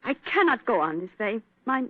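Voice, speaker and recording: A woman's voice: a little high, sing-song, moderate pace, no room sound. An actress playing an anxious young female concert pianist from Vienna, speaking broken English with a German accent, in 1940s radio acting style, heard on a dry, tinny old radio broadcast.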